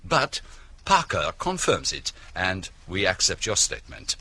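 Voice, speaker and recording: Radio drama detective: foreign, shrewd, probing, deliberate. Lively intonation with a crisp, bright edge. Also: male voice, low-pitched, exaggerated voice. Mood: methodical, probing. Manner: deliberate, probing, rhetorical questions.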